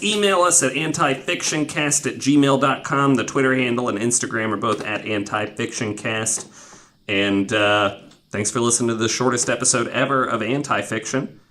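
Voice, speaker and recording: A man's voice: medium pitch, a bit bright. American man hosting, with background noise. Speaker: podcast host. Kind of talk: casual joking podcast chat.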